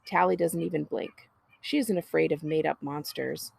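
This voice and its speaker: Husky, creaky woman reading a children's storybook. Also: low voice, fairly even intonation, normal speed, a little mellow. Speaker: librarian reading a book aloud. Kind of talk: expressive children's storybook narration.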